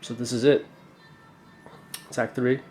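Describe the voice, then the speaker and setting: A young man with a stuffy, congested voice casually rambling through an unboxing, full of fillers and slangy excitement. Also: medium pitch, slow, soft background music. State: congested from a cold. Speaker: knife collector reviewing a purchase. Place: indoor room, camera over a tabletop. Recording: roomy echo, faint background hiss.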